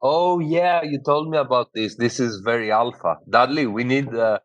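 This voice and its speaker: A man with a Greek accent hosting a casual podcast chat, laughing often, swearing casually and filling pauses with "uh". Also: medium pitch, no echo, highs cut off. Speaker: host.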